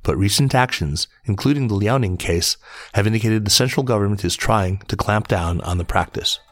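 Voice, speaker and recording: A man reading scripted business news as a podcast host, in a measured, formal newsreader delivery. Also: on the low side, fairly bright tone. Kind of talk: scripted news narration, reading articles aloud.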